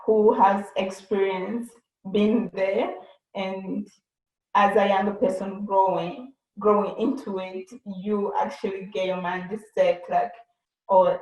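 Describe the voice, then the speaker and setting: Slow, hesitant young woman on a call. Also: mid-range voice, little pitch movement, darkish tone. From Burundi. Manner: hesitant, repeats words while thinking. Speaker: interviewee. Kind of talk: casual conversation on a video call. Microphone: video call. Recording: echoey, dull video-call audio.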